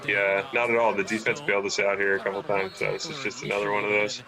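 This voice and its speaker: A young man, a former quarterback, reminiscing casually in a relaxed, hesitant way with fillers. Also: on the low side, fast, gravelly, crowd noise.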